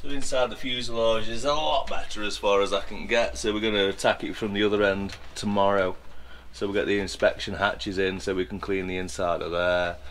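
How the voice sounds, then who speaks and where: A man in his forties with a lowish voice talks through aircraft maintenance in a large hangar. He sounds casual and hands-on, explaining as he goes and often restarting his sentences. A faint hangar ambience sits under the camera-mic sound. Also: steady tone, a little fast, hardly any pauses, fairly bright tone, slight background noise. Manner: chatty, explaining as he works, with frequent restarts. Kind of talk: casual hands-on workshop walkthrough.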